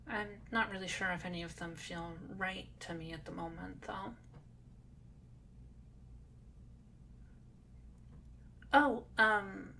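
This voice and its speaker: Woman voicing a slow, timid cat girl. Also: mid-range voice, creaky, bright, forceful tone, a little hiss or hum, rough recording. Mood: nervous, polite. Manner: hesitant, halting, apologetic. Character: shy, timid, polite young cat girl. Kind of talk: ASMR roleplay audio drama.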